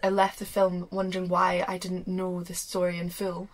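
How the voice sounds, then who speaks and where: Flat-voiced woman on a podcast. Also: on the low side, moderate pace. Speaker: podcast co-host. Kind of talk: casual podcast chat.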